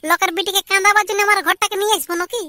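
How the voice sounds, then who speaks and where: A scolding cartoon village mother with an extremely high, piercing voice. She nags quickly and sarcastically in a Purulia Bengali accent. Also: steady tone, bright, forceful tone, dry recording, clean studio sound. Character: scolding, exasperated village mother of grown sons. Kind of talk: amateur cartoon comedy dialogue.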